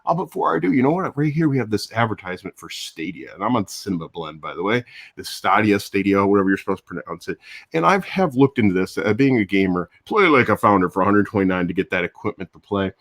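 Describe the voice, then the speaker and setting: An older man with a low voice, hosting a casual morning live stream and chatting in a rambling way, chuckling between thoughts. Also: sing-song, fast talker, a bit bright. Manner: rambling, chuckles often, restarts. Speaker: YouTube host. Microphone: different audio device that lowers voice.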